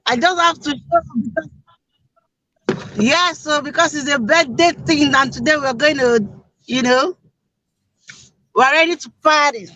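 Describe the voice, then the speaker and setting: A woman's voice: high voice, measured pace, long pauses, noisy background. A woman guest joining a livestream while driving, speaking briefly and casually. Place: car, driving.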